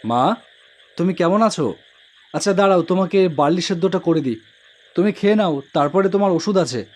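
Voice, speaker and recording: A man's voice: high voice, expressive, normal speed, a little mellow. A man playing a humble, devoted young auto-rickshaw driver who tells his own story earnestly in a cartoon. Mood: caring, insistent. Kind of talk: first-person cartoon horror story narration with dialogue.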